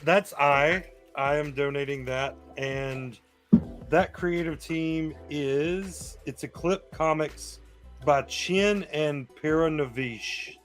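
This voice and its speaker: Slow-talking, playful man on a stream. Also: fairly high pitch, a bit bright, faint music behind. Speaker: live stream panelist. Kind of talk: casual banter.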